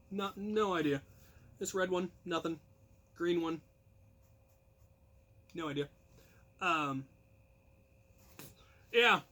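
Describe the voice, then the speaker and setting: A man telling casual anecdotes to his viewers as a channel host, rambling and self-deprecating with animated emphasis. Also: high voice.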